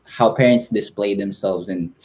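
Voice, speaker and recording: A man's voice: lowish voice, normal speed, darkish tone, slight background noise. A man from the Philippines answering informally as a guest in a casual interview, feeling his way through his phrasing.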